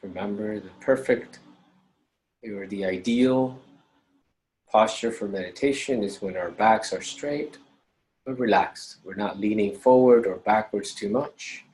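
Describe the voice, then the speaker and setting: A man leading a guided meditation as instructor, speaking calmly in short measured phrases with long pauses. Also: on the low side, a little hiss or hum, low-quality recording.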